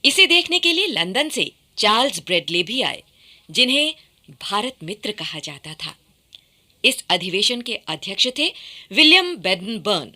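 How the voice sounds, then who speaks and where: A woman reading scripted radio documentary narration with formal, expressive enunciation. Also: medium pitch, a little slow.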